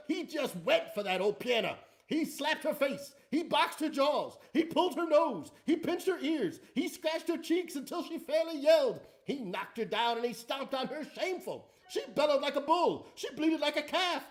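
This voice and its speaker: A man playing an excitable rustic tale-spinner with a rural Southern American accent, in a theatrical, folksy comic recitation. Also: very high-pitched, voice pushed up. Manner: folksy, exuberant, building declamation.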